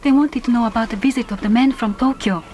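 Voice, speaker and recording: A woman playing a gentle, devoted young Japanese islander in a radio drama, earnest and formal in her phrasing. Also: on the high side, quick pace, a little hiss or hum, close to an everyday voice. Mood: grave, concerned. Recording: music bed under voice. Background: orchestral film score.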